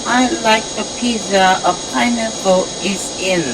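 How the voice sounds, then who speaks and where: A woman playing a polite, timid newcomer in an amateur audio drama, speaking hesitant, halting English. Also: medium pitch, steady tone, background noise, natural voice. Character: polite, timid newcomer visiting a research facility. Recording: music under the voice.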